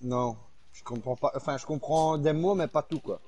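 A man's voice: a little high, normal speed, darkish tone. A man with a Belgian French accent, calling out to his teammates during an online game. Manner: calls out urgently to teammates. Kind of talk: casual gaming chat.

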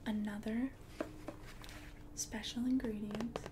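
A woman voicing an ancient, confident witch in a whispered ASMR roleplay, speaking in a soft, breathy, deliberate and soothing way. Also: a little high, flat delivery, noisy background. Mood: calm, instructive. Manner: slow, deliberate, soothing, repeats words for emphasis. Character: ancient, confident witch brewing love potions.

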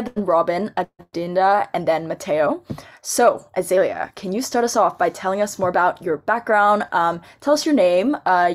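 A young woman moderates an online panel from her laptop webcam. Her voice sits a little low, and she moves through the running order in a friendly, organized way. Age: young adult. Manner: friendly, organized, scripted intros. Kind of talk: hosting a video-call panel. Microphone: laptop webcam on video call.

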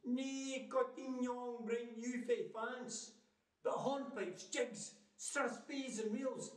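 A Scottish man recites verse with theatrical, emphatic delivery. His voice is high for a man and his pace is slow and deliberate. He sounds distant from the microphone in a roomy indoor space. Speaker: poetry reciter. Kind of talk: dramatic recitation of narrative verse. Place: living room, indoors.

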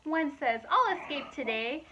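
A woman in her thirties, a preschool teacher, reads picture books aloud to young children in an expressive, animated manner with asides to her listeners. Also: high-pitched, measured pace, dull audio, background noise. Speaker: preschool teacher reading storytime.

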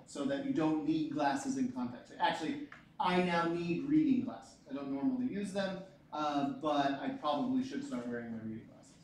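A man in his forties teaching a physics class, lively and joking, repeating his key points. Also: mid-range voice, moderate pace, a little hiss or hum. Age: 40s. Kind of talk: classroom lecture. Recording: echoey room, mic far off.

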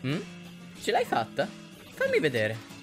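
A man's voice: on the high side, huge pitch swings, a little slow, soft background music. A man voicing an exasperated, short-tempered young gangster tutor in an Italian anime fan dub. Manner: exasperated, flips to screamed insults.

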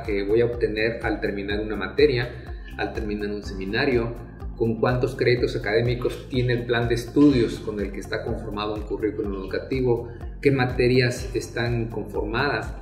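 A middle-aged man from Mexico giving a university talk, measured and hesitant, with many fillers and restarts. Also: lowish voice. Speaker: university lecturer. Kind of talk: academic talk to prospective students. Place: small plain room, indoors. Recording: echoey room, faint music behind.

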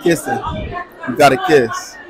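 A man's voice: medium pitch, unhurried, a faint crowd. A teenage boy at school answering bluntly in a laid-back way. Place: school gym.

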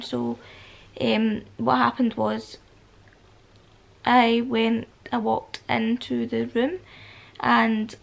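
A woman in her twenties with a Scottish accent, a husky voice from a cold, talking softly to the camera in a casual vlog with many ums. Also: on the high side. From Scotland. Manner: soft and hushed, with many ums. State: has a cold. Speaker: vlogger. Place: indoors, at home in a bedroom, lying against a headboard. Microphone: phone or camera at arm's length.

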